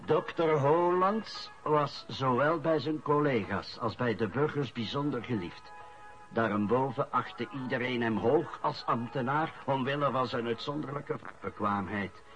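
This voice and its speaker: A man's voice: mid-range voice, measured pace, a bit bright, natural voice. An actor playing a man formally reading out a news report in a radio drama. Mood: solemn, formal. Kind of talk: Dutch-language radio drama acting. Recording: dull lo-fi recording, faint music.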